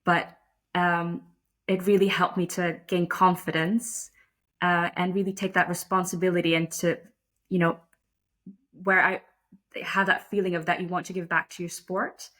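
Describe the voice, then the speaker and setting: Low-voiced woman in an interview. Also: little pitch movement, moderate pace, a bit bright. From Netherlands. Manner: thoughtful, self-deprecating, frequent restarts and fillers. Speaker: guest, lacrosse umpire. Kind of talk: casual interview conversation.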